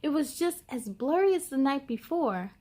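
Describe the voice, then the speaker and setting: High-voiced woman reading to children. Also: animated, moderate pace, darkish tone. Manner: encouraging, addresses young listeners directly. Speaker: story time host reading a children's picture book aloud. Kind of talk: expressive read-aloud narration and encouraging chat to children.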